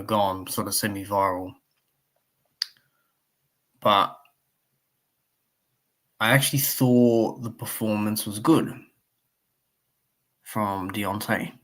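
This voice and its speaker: Male live streamer, croaky, low-pitched, loose conversational delivery full of fillers. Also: fairly bright tone. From Australia. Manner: rambling, many fillers, reads chat aloud. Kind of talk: casual live stream commentary.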